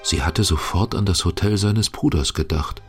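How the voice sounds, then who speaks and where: A man with a very low voice narrates a radio drama. The delivery is scripted and literary. Music is clearly heard behind him. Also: normal speed. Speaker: second narrator.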